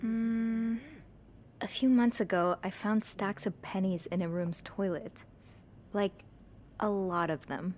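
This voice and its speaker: A woman's voice: mid-range voice, steady tone, takes it slowly, darkish tone, slight background noise, close to an everyday voice. A woman voicing a reserved, curious hotel maid in a narrative video game. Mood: hesitant, reserved. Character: young female hotel maid, nosy, reserved, soft-spoken.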